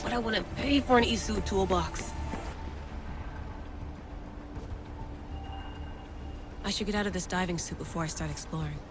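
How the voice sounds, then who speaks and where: An actress playing a bold, wry young hacker-explorer in a video game, informal and confident. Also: female voice, medium pitch, quick pace, close to an everyday voice. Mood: awed, determined. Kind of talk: naturalistic video game acting. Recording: music behind the voice.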